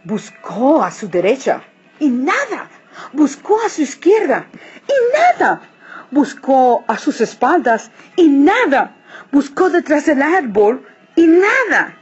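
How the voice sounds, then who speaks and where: A woman narrating a children's story expressively, with deliberate, dramatic phrasing. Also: high-pitched, very animated. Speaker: storybook reader. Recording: muffled audio with music behind.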